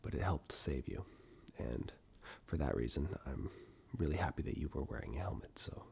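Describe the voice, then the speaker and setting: A man doing soft-spoken, half-whispered ASMR roleplay, reassuring and unhurried, speaking directly to the listener close to a binaural microphone. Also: lively intonation. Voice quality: breathy whisper mixed with very deep, gravelly murmur. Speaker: ASMR performer playing caregiver and eye examiner roles.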